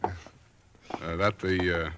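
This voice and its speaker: An actor playing an easygoing, skeptical friend in a 1940s radio drama, breezy and teasing. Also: male voice, low voice, level tone, extremely slow, background noise. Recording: old 1940s radio broadcast.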